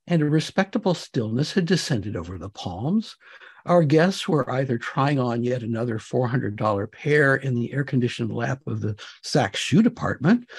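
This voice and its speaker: Male author, literary narration, wry, theatrical, husky voice, highly varied intonation. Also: darkish tone. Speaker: author reading own novel. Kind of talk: expressive literary narration of comic fiction.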